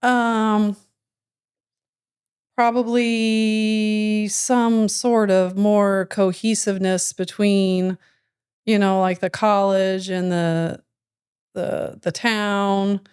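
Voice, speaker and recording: Woman, American accent, mid-range pitch, casual chat, podcast guest. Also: level tone, extremely slow, pauses often, a little mellow, smooth. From Missouri, United States. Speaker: podcast guest, fitness business owner.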